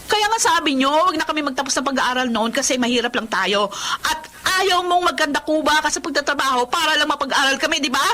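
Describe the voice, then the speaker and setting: High, bright-voiced bitter daughter. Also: female voice, lively intonation, fast talker, a little hiss or hum. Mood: angry, accusing. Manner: sharp, blunt, reproachful. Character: bitter, accusing eldest daughter, successful breadwinner. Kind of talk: Filipino radio-style family drama acting.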